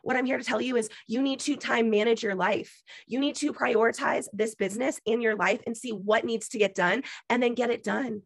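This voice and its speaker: A woman lectures her team on a video call. She is emphatic and preachy, drives her points home with repetition, and her voice is going hoarse. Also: a little high, steady tone, a little fast, hardly any pauses, dry recording. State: losing her voice. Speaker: business team leader. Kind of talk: motivational pep talk on a video call.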